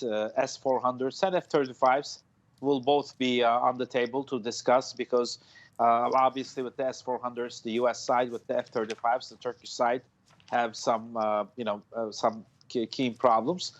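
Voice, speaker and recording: A man in his forties, a foreign policy analyst, speaking live from home over a video call, hesitant, with many fillers and restarts. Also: mid-range voice. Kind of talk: live news interview. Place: room at home. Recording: dull lo-fi video call audio.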